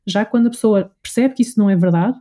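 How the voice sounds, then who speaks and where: Very mellow Portuguese woman explaining. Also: mid-range voice, fast. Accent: European Portuguese. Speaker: voice and communication coach, interview guest. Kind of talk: conversational interview explanation.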